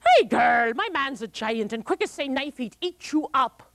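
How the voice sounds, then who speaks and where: A woman's voice: high-pitched, big pitch swings, normal speed, vocal fry, dry recording, voice pushed up, heavily acted. A woman voicing a big, blunt old woman married to a giant. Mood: gruff. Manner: blunt, loud.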